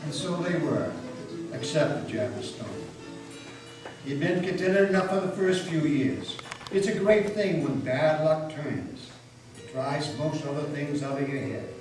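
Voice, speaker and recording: A man tells a folk tale in a very echoey hall, picked up from a distance. His delivery is folksy and dramatic, with emphatic phrasing, and guitar music plays clearly behind him. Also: a little high, lively intonation, measured pace. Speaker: storyteller in a dramatic reading. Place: meeting house, live reading.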